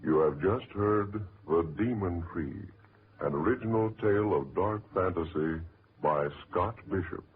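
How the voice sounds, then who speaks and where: An American man announcing a 1940s radio show in a formal, measured, scripted style. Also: deep voice. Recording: muffled, noisy 1940s radio recording.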